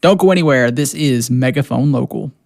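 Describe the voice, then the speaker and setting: A man's voice: medium pitch, brisk, vocal fry, a little mellow, no room sound, studio quality. A man hosting a radio music show, chatting in a casual, informal, conversational way. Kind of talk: casual radio host chat introducing music.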